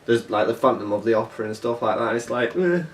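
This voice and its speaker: A man's voice: mid-range voice, lively intonation, fast talker. Rambling male co-host in an echoey room. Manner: rambling, self-correcting, informal. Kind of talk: casual chat. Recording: roomy echo, distant mic, music.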